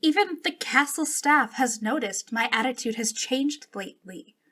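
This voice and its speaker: A woman's voice: high-pitched, lively intonation, pushed and bright, exaggerated voice. A woman playing an arrogant, jealous young princess in a dramatic ASMR roleplay, imperious but wounded and emotional. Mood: hurt. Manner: imperious, emotional, halting when hurt. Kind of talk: ASMR roleplay, dramatic one-sided dialogue.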